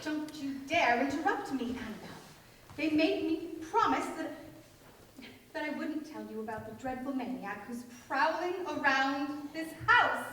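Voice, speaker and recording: A woman's voice: high-pitched, lively intonation, measured pace. A woman playing a bossy, neurotic, man-scorning older cousin in a staged radio play, with theatrical delivery. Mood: dramatic. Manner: theatrical, declamatory. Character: bossy, neurotic, man-hating busybody spinster-like divorcee cousin. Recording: echoey hall, distant mics, faint noise.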